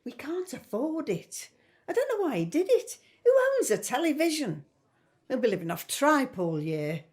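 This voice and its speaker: A woman's voice: high voice, sing-song, normal speed, darkish tone. An actress playing a fretful, grumbling middle-aged working-class mother in a 1950s family audio drama. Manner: grumbling, worrying.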